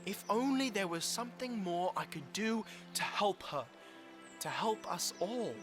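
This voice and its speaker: A man's voice: sits high, animated, unhurried, over music. A man playing an earnest, polite and kind-hearted young marten in an animal audio drama. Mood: troubled.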